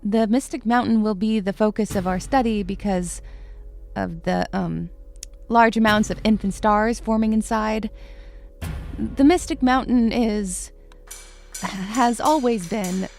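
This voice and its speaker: Woman acting as earnest female science professor, highish voice with gravelly fry, lecturing, audio drama, faint music. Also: measured pace, darkish tone. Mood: earnest, teacherly. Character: enthusiastic female astronomy professor lecturing students.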